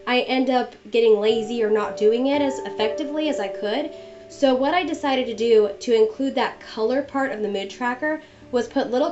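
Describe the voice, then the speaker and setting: A young woman with a fairly high voice talks through her planning in a chatty, friendly way, still a little stuffy from a cold. Her voice-over sounds dull and lo-fi, and instrumental music plays clearly underneath. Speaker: bullet journal vlogger. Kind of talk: casual voice-over narration.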